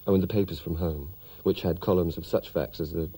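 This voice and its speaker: A man's voice: sits low, steady tone, fairly quick, mellow, breathy edge. An actor playing a wry, weary British wartime airman in a radio play, with a dry, droll, articulate delivery. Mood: wry narration. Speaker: narrator and character.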